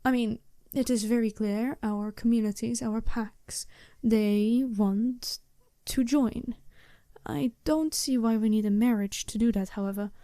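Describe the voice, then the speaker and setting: A woman playing a smooth, businesslike and seductive werewolf bride in a soft-spoken ASMR roleplay, speaking politely and formally in measured phrases. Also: fairly high pitch, steady tone, dry recording, clean studio sound. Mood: frank, measured.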